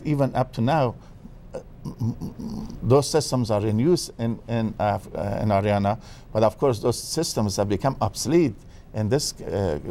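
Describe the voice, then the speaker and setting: Older Afghan guest expert, halting and full of fillers, dark and gravelly voice, faint noise behind. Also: male voice, lowish voice. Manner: hesitant, many fillers and restarts. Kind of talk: TV interview.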